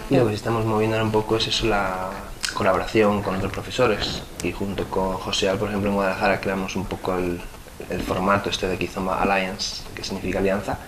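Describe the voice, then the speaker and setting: Low-voiced Spanish man with background noise. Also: flat delivery, fast talker. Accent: Castilian Spanish. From Madrid, Spain. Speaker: dance teacher being interviewed. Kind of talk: casual interview conversation.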